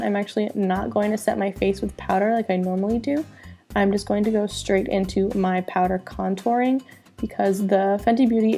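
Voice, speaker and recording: Young woman doing a makeup tutorial voiceover, stuffy nasal from a cold, gravelly, chatty, faint music behind. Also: medium pitch, mellow. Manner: chatty, upbeat explaining. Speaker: beauty vlogger.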